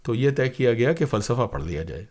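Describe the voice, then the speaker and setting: A man's voice: medium pitch, very animated, a little fast, a little mellow. An older man, telling stories conversationally as an interview guest, with digressions and acted-out quotes. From India. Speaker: interview guest, broadcaster and playwright. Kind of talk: conversational anecdotal storytelling.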